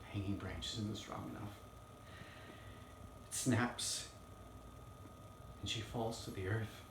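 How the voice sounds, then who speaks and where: Male actor as earnest young trial lawyer addressing a jury; low-pitched, solemn, halting stage monologue, roomy sound. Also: steady tone. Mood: grave, hushed. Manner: solemn, halting, with weighty pauses. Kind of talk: dramatic courtroom monologue performance. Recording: roomy, distant mic, faint noise.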